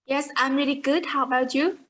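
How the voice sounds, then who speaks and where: A young woman from Vietnam with a Vietnamese accent answers interview questions in English, hesitant and pausing often with "uh.". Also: high-pitched, steady tone, a bit bright. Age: young adult. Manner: hesitant, many "uh" pauses, answers begin with "yes". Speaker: interviewee, university freshman.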